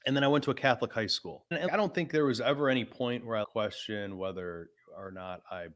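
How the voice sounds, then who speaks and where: A man's voice: mid-range voice, a little fast. A man of about forty hosting a podcast, talking frankly and casually, often adding "man" and swearing. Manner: laid-back, frank, swears, says "man" a lot. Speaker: podcast host.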